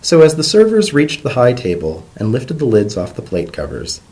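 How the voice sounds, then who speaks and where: A man giving an expressive, measured dramatic reading of a story as its narrator. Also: mid-range voice.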